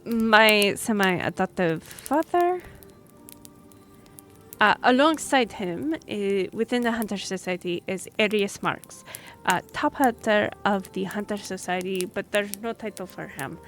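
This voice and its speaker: A woman's voice: medium pitch, measured pace, a bit bright, faint music behind, natural voice. A woman playing a friendly, earnest young half-elf royal messenger in a fantasy audio roleplay, speaking a little hesitantly. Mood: formal, hesitant listing. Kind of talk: fantasy audio roleplay, one-sided conversation. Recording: noisy track with background ambience.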